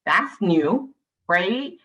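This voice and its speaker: A woman's voice: mid-range voice, bright, forceful tone. A woman from Barbados speaking as a panelist in a video-call discussion, emphatic and passionate, often ending points with a tag question. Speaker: panelist, nonprofit leader.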